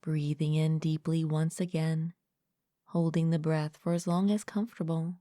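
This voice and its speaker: A woman leading a guided meditation as a mindfulness coach, speaking gently and calmly in a soft, breathy, partly whispered voice, close to the mic. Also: sits low, dry recording. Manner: gentle, calm, unhurried with long pauses. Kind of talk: guided meditation, soothing scripted narration.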